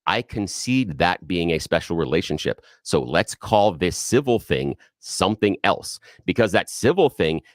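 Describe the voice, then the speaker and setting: Deep-voiced radio host pressing a caller. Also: male voice, big pitch swings, normal speed, few pauses, dry recording, clean studio sound. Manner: probing, argumentative, leads the caller step by step. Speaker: radio talk show co-host. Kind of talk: debating a caller on talk radio.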